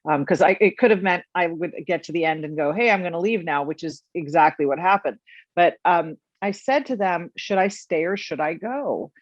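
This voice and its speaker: A woman's voice: fairly low pitch, moderate pace, no room sound. A middle-aged woman hosting a podcast, telling personal stories earnestly and reflectively, often restarting her sentences. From United States. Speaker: podcast host. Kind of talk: casual interview chat. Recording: dry, dull lo-fi sound.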